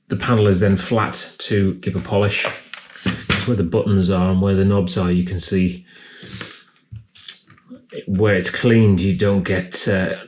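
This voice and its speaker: A man's voice: lowish voice, a little mellow. A man giving a casual, matter-of-fact repair tutorial, explaining each step as he works. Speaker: repair video host. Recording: clear background noise, handling clicks.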